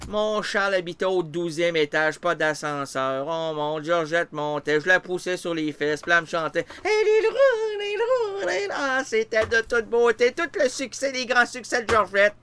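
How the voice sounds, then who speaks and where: A man with a Québécois accent telling a comic story in an animated way, jumping between character voices. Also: sits high, moderate pace, hardly any pauses, slight background noise. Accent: Québécois French. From Quebec. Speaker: comedian.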